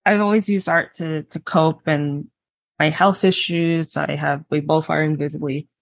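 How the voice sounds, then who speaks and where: A woman in her twenties sharing personal experience candidly as an interview guest, pausing to choose her words. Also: low-pitched, fairly even intonation, gravelly, dry recording. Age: 20s.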